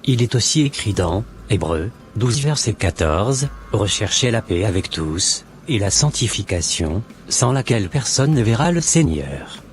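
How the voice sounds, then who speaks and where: A man voicing a church brother who slowly quotes scripture to correct a friend in a cartoon. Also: fairly low pitch, very animated, slight background noise. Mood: preachy. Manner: slow, preachy, quotes scripture. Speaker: channel co-host.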